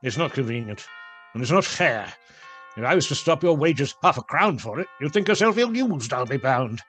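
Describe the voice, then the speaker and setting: A man's voice: medium pitch, sing-song, moderate pace, fairly bright tone, faint music behind. An actor playing a curt, scornful, miserly old businessman in a radio play. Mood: irritated.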